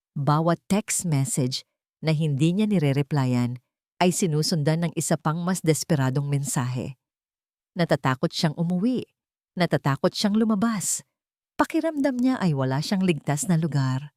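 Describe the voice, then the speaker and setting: A woman's voice: deep voice, darkish tone, no room sound, studio quality. A woman reading a dramatic romance story as a scripted narrator.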